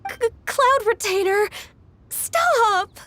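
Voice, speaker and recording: A woman playing a polite, earnest, dutiful young half-immortal secretary in a video game, courteous and soft-mannered. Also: extremely high pitch, lively intonation, drawn-out words, no room sound, heavily acted. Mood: embarrassed. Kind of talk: video game character acting.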